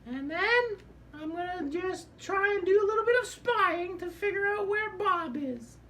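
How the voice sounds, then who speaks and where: A man voicing an eager, sweet puppet heroine in a squeaky falsetto, with childlike drawn-out delivery. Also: expressive, pushed and bright, heavily acted. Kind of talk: puppet show dialogue.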